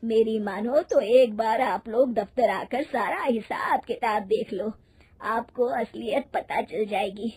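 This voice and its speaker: A kindly old man, a worried company clerk from a storybook tale, acted by a woman. The voice is husky and high-set, with an avuncular, complaining way of talking. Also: slow pace, darkish tone, full character voice. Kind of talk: moral story character acting.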